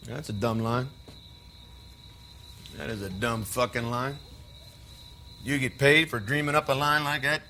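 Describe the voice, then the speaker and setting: An actor playing a volatile, resentful drifter brother in a stage drama, with an American accent and a gruff, needling, profane manner. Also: male voice, medium pitch, steady tone, unhurried, long pauses, bright, forceful tone. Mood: angry, contemptuous. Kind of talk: naturalistic stage-play acting. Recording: noisy recording, chirping crickets behind.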